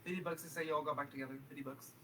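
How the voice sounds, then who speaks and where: Quick, mid-pitched voice for casual group banter, joking interjections, recorded at a distance. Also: steady tone. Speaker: book club participant. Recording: distant mic, clear background noise.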